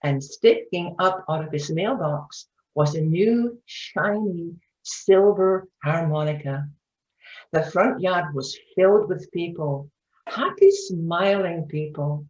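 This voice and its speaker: A woman's voice: lowish voice, takes it slowly, a little mellow. A woman reading a children's storybook aloud as a narrator. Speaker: reader in a readers theatre. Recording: roomy, dull lo-fi sound.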